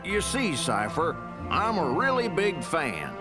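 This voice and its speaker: High-voiced, theatrical fanboy. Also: male voice, huge pitch swings, slow pace, heavily acted. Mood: gushing. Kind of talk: video game cutscene acting. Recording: music behind the voice.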